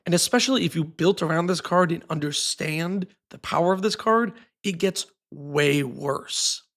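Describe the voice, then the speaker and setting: A man with a sick, raspy voice, giving a scripted, casually opinionated talk about a card game. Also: fairly high pitch, moderate pace, a bit bright. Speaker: card game content creator. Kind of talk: scripted video essay.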